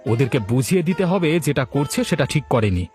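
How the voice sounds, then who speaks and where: A man's voice: medium pitch, very animated, fast, music in the background, lightly acted. A man voicing a concerned, indignant village husband in a moral cartoon, speaking emphatically and exclaiming. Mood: indignant, determined. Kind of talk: Bengali moral cartoon dialogue.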